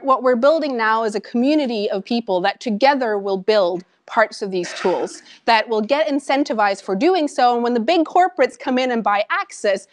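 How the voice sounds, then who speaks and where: A woman in her thirties from Norway with a Norwegian accent, giving a conversational talk to an audience about her startup, animated and full of ums and asides. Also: fairly high pitch. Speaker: startup founder giving a lecture. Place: lecture room with an audience.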